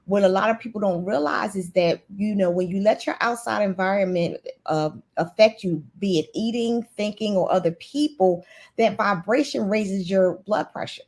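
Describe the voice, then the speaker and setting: A woman guest with a husky, slightly breathy voice. She talks in an earnest, motivational way at a middle pitch. She often restarts her words mid-thought. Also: moderate pace, a little mellow. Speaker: guest, nurse CEO. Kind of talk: casual interview chat.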